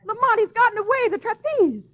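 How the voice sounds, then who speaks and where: An actress playing a spirited radio heroine, dramatic and expressive in 1940s style. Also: female voice, very high voice, a little fast, dull audio. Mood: urgent. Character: Margot, spirited adult heroine and sleuth's companion. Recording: thin, noisy old radio recording.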